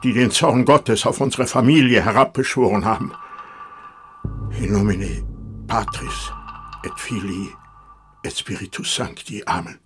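An actor playing a stern, pious, bitter medieval village priest and father in a German radio drama, preaching gravely and lapsing into Latin. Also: on the low side, measured pace, long pauses, over music, lightly acted. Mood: stern, solemn.

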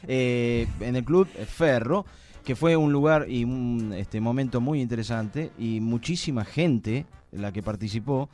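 A man's voice: mid-range voice, measured pace, a little mellow, soft background music. A man with an Argentine Spanish accent, hosting an informal radio chat in a colloquial, joking way. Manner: jokey, colloquial. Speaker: radio host.

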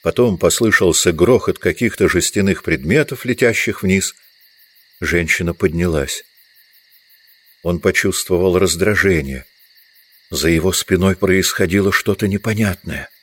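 A man's voice: low voice, measured pace, fairly bright tone, slightly rough. A man reading scripted literary narration as the narrator of an audiobook.

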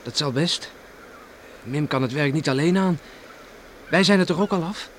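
A man's voice: on the high side, normal speed, lightly acted. A young man playing a dreamy, teasing teenage farm boy in a radio drama, boyish and matter-of-fact. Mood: cautious, confiding. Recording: faintly noisy old radio recording.

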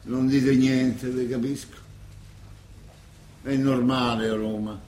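An actor playing a naive, indiscreet old manservant in a comic radio play, chatty and insistent, with a Roman accent. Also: male voice, mid-range voice, steady tone, takes it slowly, darkish tone, echoey room, close to an everyday voice. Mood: confiding. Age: older. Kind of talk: Italian theatrical radio comedy acting.

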